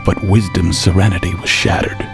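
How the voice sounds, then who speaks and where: An actor playing a hip, playful narrator of Greek myths in a radio drama, with theatrical rhyming delivery. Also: male voice, deep voice, little pitch movement, moderate pace. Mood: hushed, dreamy. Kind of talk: rhythmic rhyming radio storytelling over music. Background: music.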